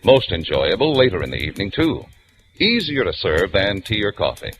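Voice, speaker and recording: A male announcer on a vintage radio program, reading a wine commercial in a smooth, persuasive delivery. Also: low voice, wildly swooping pitch, moderate pace, a bit bright, faint music behind. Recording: dull old radio broadcast.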